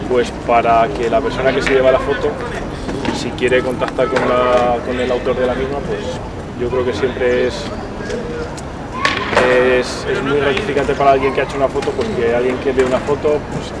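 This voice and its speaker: A man in his forties, an event organizer, explaining an event in a relaxed, hesitant way during an outdoor street interview. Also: mid-range voice, moderate pace. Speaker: photo association organizer. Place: city square outdoors. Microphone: camera mic from a distance. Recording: distant mic, loud street noise.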